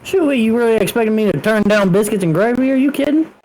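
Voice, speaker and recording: Higher-voiced man bantering casually. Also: expressive, quick pace, a little mellow, a little hiss or hum, low-quality recording. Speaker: tabletop game player.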